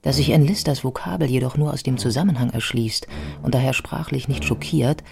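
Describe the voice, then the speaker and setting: A man narrating a scripted biographical radio play in a measured, scholarly manner. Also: mid-range voice, gravelly, darkish tone, lightly acted.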